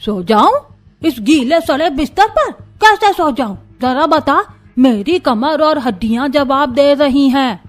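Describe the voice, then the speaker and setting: A woman's voice: high voice, expressive, a little slow, faint music behind. A woman voicing a grumbling, scolding old grandmother in a cartoon story. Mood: indignant. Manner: querulous, scolding. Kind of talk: Hindi cartoon story acting.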